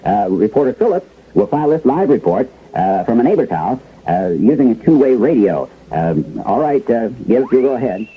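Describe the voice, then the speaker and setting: An actor playing a flustered, apologetic newsroom anchor who stumbles through a mock live bulletin in a 1970s radio drama. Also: male voice, medium pitch, very animated, moderate pace, breathy edge. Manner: hesitant, apologetic, full of ums. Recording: thin, narrowband old radio broadcast.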